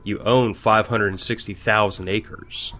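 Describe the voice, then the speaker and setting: A man playing a measured, matter-of-fact army major in an audio drama, curt and blunt. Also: fairly low pitch, steady tone, sharp tone, faint music behind. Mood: formal, restrained.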